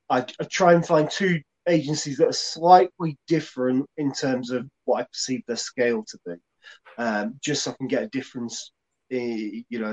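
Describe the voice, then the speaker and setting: A man's voice: mid-range voice, a little mellow, low-quality recording. Man co-hosting, thinking aloud. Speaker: co-host, agency colleague. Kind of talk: casual chat.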